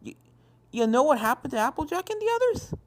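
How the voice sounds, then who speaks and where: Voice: sits high, big pitch swings, fast, voice pushed up. A narrator voicing a timid, curious young filly pony in a hesitant voice for a story reading, over music.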